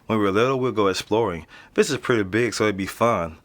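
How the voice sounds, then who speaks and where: Man playing a nonchalant, low-voiced American teenage boy. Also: fast, close to an everyday voice. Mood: offhand. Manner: casual, slangy, offhand. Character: nonchalant streetwise teenage boy living in an apartment building. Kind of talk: naturalistic audio drama interview.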